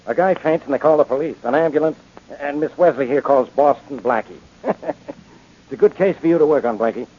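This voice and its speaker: Grumpy radio cop, husky highish voice, barks and sneers, muffled old recording. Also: male voice, brisk. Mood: scornful. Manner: gruff, barking, sarcastic. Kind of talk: 1940s radio detective drama acting.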